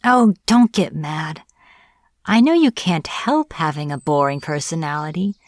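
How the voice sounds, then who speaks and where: A woman playing a smug, teasing witch in an audio roleplay, taunting a captive in a playful, deliberate manner. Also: low voice, lively intonation, no room sound, studio quality. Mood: mocking. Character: smug teasing young-sounding witch, captor of a hunter. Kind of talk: audio roleplay, acted monologue to the listener.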